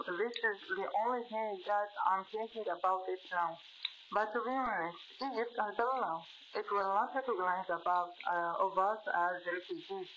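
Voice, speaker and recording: A young woman from Gaza with a Palestinian Arabic accent, a journalist answering interview questions, searching for words with frequent pauses and fillers. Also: medium pitch, fairly bright tone, highs cut off, slight background noise. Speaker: journalist guest.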